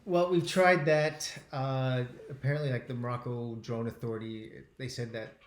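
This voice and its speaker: Halting man in his forties, echoey room. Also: medium pitch, steady tone. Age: 40s. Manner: halting, repeats words while finding his thought. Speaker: travel channel co-host. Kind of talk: casual live-stream chat.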